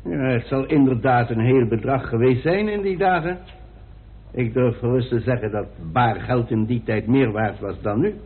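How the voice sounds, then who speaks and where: A man's voice: medium pitch, normal speed, close to an everyday voice. An actor playing a brusque, formal male coroner in a Dutch radio play. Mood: formal, obliging. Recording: muffled, band-limited old radio recording.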